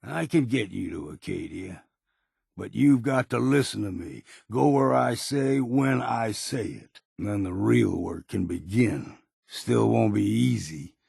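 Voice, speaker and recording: Game companion role: weathered older island guide, heavy fry and rasp, blunt folksy drawl, wary and gruff. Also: male voice, lowish voice, lively intonation, measured pace, darkish tone, no room sound, studio quality, full character voice. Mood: gruff, instructive. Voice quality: gravelly, raspy. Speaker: companion character in a video game. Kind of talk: video game voice lines.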